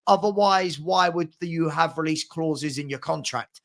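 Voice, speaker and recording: A man's voice: a little high, unhurried. A man in his forties hosting a casual football fan livestream, chatting matily with viewers and often repeating words as he thinks aloud. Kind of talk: casual live chat reading and answering viewer comments.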